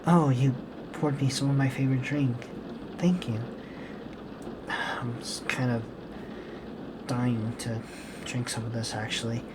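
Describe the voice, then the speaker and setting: A man playing a gentle, guilt-ridden serial killer boyfriend and father in an intimate ASMR roleplay, speaking softly and hesitantly, with apologies. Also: medium pitch, flat delivery, background noise, lightly acted. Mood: weary, grateful. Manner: hesitant, halting, apologetic, soft-spoken.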